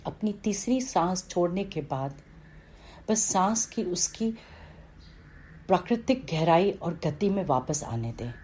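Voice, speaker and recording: A woman reading a scripted guided meditation in a calm, instructional way, with pauses between phrases. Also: lowish voice, a little hiss or hum.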